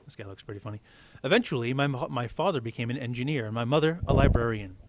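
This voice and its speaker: Male teacher, mid-pitched voice, reading a story aloud and chatting in asides. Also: animated, fast.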